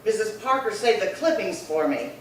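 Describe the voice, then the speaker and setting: A woman's voice: medium pitch, big pitch swings, normal speed, natural voice. A woman playing an indignant, bossy sister in an old-time radio crime drama, scolding emphatically and repeating herself. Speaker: actor. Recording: very echoey room, distant mic, faint noise.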